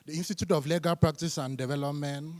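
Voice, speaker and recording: A man's voice: a little high, steady tone, fast, darkish tone. A young man with a Cameroonian accent, a student delivering a formal question from the floor. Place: large conference hall, public address. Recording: reverberant conference hall.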